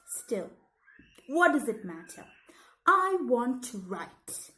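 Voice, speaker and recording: Woman doing a girlish teen schoolgirl reading her diary, high voice, expressive n eager, takes her time. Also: slow, full character voice. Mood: pensive, earnest. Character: eager, thoughtful thirteen-year-old schoolgirl writing her diary. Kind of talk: dramatised diary read-aloud.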